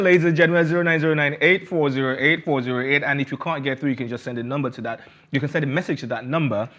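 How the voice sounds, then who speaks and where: A man with a Nigerian accent hosting a casual entertainment chat, animated and jokey, often repeating phrases for emphasis. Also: mid-range voice. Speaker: TV talk show host.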